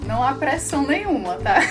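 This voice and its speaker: A woman hosting a Brazilian podcast, chatting casually and excitedly, laughing often. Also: sits high, unhurried, over music. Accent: Brazilian Portuguese. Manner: warm, giggly, excitable. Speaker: podcast host.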